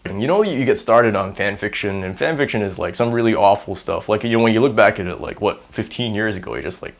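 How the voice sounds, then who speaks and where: A man's voice: fairly low pitch, lively intonation, quick pace, vocal fry. Young man with Singaporean-American accent. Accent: mix of American and Singaporean. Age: 20s. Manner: rambling, filler-heavy, self-deprecating humor. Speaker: university student. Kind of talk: casual on-camera interview monologue.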